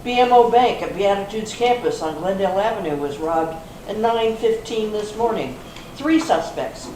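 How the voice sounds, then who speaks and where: A skeptical, formal policewoman running an interrogation, read by a woman on stage. Her mid-pitched voice is clipped and procedural, picked up from a distance in an echoey room. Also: animated, normal speed, mellow, close to an everyday voice. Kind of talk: amateur readers theater reading. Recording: echoey hall, distant mic, faint noise.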